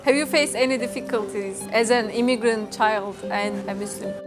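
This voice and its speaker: A woman's voice: fairly high pitch, steady tone, normal speed, loud music behind the voice. A woman interviewer asking brief, direct questions.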